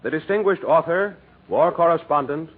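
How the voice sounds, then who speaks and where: A man's voice: a little high, normal speed. An American man hosting in a formal, ceremonious and polished 1940s radio announcing style. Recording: tinny, hissy 1940s radio broadcast.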